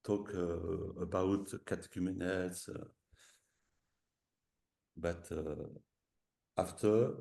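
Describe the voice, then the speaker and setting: A French-accented man speaks slowly and haltingly in English, filling pauses and slipping back into French. His voice sits low and dark with a gravelly edge. It comes through an echoey room on a video call. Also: fairly even intonation. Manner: hesitant, many fillers and restarts, slips into French. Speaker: visiting professor speaking on a webinar. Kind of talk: halting webinar talk in a second language.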